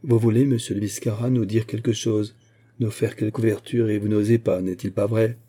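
A shrewd bishop who was once a musketeer, acted out by a male narrator. He speaks solemnly and with measured authority. The voice is very dark and husky, as in a storybook adventure. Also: on the low side, fairly even intonation. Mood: probing, courteous.

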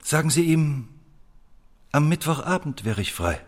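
An actor in a German radio play playing an imperious personnel boss, brusque and officious. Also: male voice, fairly high pitch, very animated, measured pace. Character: curt, imperious male department store personnel boss.

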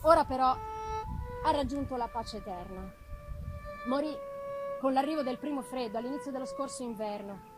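Woman reading as a high-voiced, tender young gentlewoman. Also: lightly acted. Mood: grave, affectionate. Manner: expressive, unhurried dramatic delivery with pauses. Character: young affectionate gentlewoman writing an anxious, tender letter. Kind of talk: theatrical literary reading. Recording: live music behind the voice.

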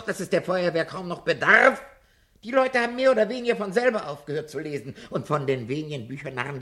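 An actor playing a fearful, sardonic old professor in a German radio drama, speaking in eloquent, theatrical declamation. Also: male voice, high-pitched, sharp tone, exaggerated voice. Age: older.

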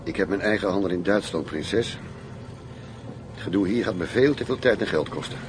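A male actor plays a world-weary undercover agent in a radio play. His voice is very low, his manner terse and detached. A faint rumble of noise sits behind him. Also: normal speed, lightly acted. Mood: cool, reluctant. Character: guarded, world-weary male undercover police agent posing as arms dealer.

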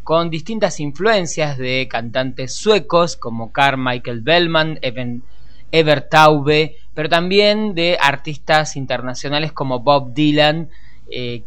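A man's voice: a little high, fairly bright tone, smooth. A man with an Argentine accent hosting a radio show and introducing music in a semi-improvised way. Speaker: radio host.

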